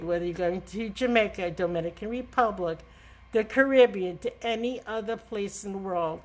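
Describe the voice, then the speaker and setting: A middle-aged woman with a New York accent hosting a live travel talk, emphatic and scolding in a chatty way. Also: mid-range voice. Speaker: travel agent host.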